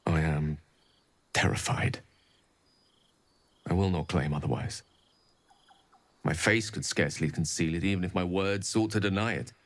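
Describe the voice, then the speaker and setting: An actor playing an eloquent, melancholy wizard in a fantasy game, speaking in an intimate, poetic and measured way. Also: male voice, sits low, a bit bright, no room sound, full character voice. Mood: grave, resigned. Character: romantic eloquent wizard man, wistful and melancholy. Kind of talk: fantasy video game dialogue acting.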